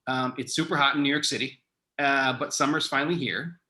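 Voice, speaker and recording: A man's voice: medium pitch, fairly even intonation, brisk, sharp tone. A man hosting a casual interview, talking in an informal, enthusiastic way. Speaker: interview host, artistic director.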